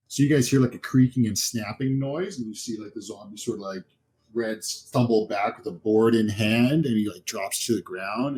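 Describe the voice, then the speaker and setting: A man's voice: lowish voice, darkish tone, poor audio. A man narrating a tabletop horror game as game master, improvising descriptions in a casual way.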